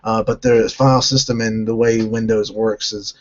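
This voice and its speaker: A hoarse man from North Carolina hosting a gaming channel, answering viewer questions in a halting way and often restarting his sentences. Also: on the low side, level tone, muffled recording. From North Carolina, United States.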